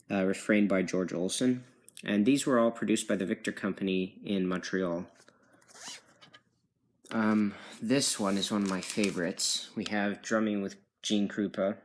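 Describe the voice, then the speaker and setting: A man giving a casual, rambling show-and-tell as a collector, hesitant and often correcting himself, recorded on a handheld phone. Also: lowish voice. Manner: hesitant, unsure, self-correcting. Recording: noisy amateur phone video with clicks.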